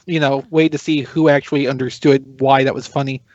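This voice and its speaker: A man's voice: medium pitch, level tone, a little fast, darkish tone, no room sound. A male podcast panelist chatting casually in a wry way over a slightly dull voice call. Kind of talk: casual chat.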